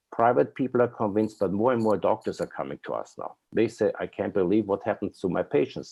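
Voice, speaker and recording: An Austrian man in his fifties tells his story as an interview guest. He speaks in an earnest, rambling way, with frequent fillers and an Austrian German accent. His voice is low, mellow and warm. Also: gravelly. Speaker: guest, company owner.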